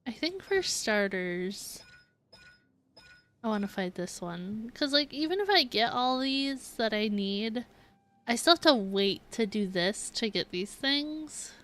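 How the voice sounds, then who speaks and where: A woman's voice: fairly high pitch, animated, takes it slowly, a bit bright. A woman game streamer chatting casually, musing aloud and indecisively to her viewers. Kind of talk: casual livestream chat.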